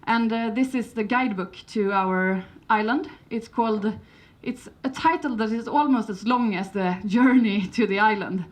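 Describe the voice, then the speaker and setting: A woman's voice: on the high side, moderate pace, few pauses. A woman from Sweden with a Swedish accent, giving a conference presentation in English, hesitant, with many fillers and restarts, and a light humour. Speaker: conference speaker.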